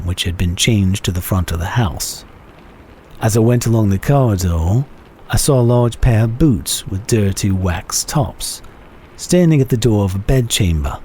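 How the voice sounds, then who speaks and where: A man giving a measured, theatrical dramatic reading of a classic short story as its narrator. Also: lowish voice, mellow, slight background noise. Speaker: narrator, reader.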